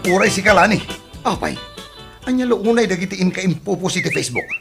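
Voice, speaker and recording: Actress as a creaky, touchy Ilocano sore loser. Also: female voice, mid-range voice, expressive, fast. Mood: indignant. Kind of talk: Ilocano radio comedy drama acting. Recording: music behind the voice.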